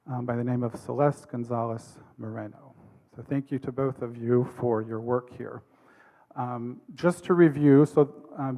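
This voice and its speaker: A man's voice: medium pitch, highs cut off. Man introducing speakers at a symposium. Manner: relaxed, with frequent ums. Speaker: moderator.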